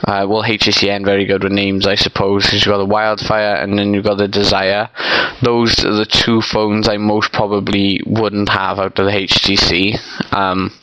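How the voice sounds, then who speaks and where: Young man, blocked nose, low flat voice, bright crisp tone, rambling vlog chat. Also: moderate pace, no room sound, poor audio. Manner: rambling, many ums and you-knows. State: congested, stuffy nose. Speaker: vlogger. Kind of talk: casual unscripted opinion vlog.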